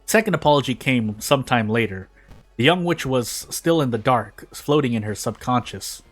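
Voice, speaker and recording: A man reads narrative prose aloud in an informal way, at an average male pitch. He sometimes trips on words and goes back over them, with music playing faintly behind him. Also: lively intonation, normal speed. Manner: stumbles over words and rereads phrases. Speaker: fan fiction reader on a gaming video. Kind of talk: informal read-aloud of a story.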